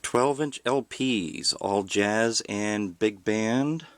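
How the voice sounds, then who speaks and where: Low-voiced man with wry asides. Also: very slow, dry recording. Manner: wry, self-deprecating asides. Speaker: record collector presenting a collection. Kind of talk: casual narrated home video tour. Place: indoors at home.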